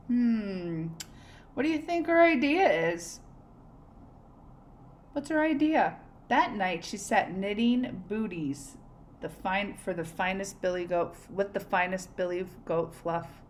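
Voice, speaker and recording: A woman teacher reading a storybook aloud to children in an expressive, conversational way, pausing to prompt them with questions. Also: mid-range voice, slight background noise.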